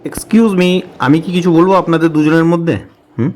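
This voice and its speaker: A man's voice: fairly high pitch, fairly quick, a little mellow, natural voice. A man as an indignant, insistent everyman. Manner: insistent, protesting. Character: indignant, brash ordinary village husband wrongly taken as dead. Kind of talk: comic cartoon voice acting.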